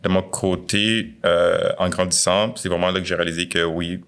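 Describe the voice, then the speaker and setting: A young man with a Quebec French accent, talking casually and reflectively in a conversation, with many pauses and fillers. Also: sits low, flat delivery, a bit bright, smooth. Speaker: guest.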